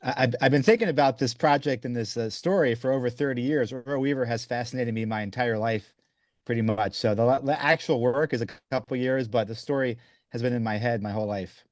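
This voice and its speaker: A man's voice: medium pitch, brisk, dry recording, studio quality. A man in his forties, raised in Belgium, answering interview questions about his book with eager, stop-start phrasing. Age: 40s. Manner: enthusiastic, restarts often, says "you know". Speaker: author guest.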